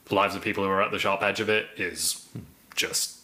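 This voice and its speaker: A man's voice: low-pitched, fairly even intonation, fairly quick, bright, forceful tone. A man co-hosting a casual political podcast, with a wry, sardonic and self-deprecating way of talking. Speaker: podcast co-host.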